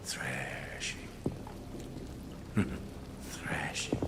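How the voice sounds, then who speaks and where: Slow, sneering German Nazi interrogator. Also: male voice, very deep voice, flat delivery, exaggerated voice. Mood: mocking menace. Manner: slow, mocking, theatrical, often near whisper. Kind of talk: dramatic game cutscene acting.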